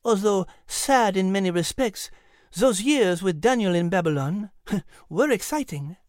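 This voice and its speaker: A woman voicing a proper, bookish little gentleman animal in a children's story. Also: medium pitch, animated, measured pace, dry recording, clean studio sound, lightly acted. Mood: delighted, learned. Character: Nigel, erudite bespectacled gentleman mouse, excitable and proper.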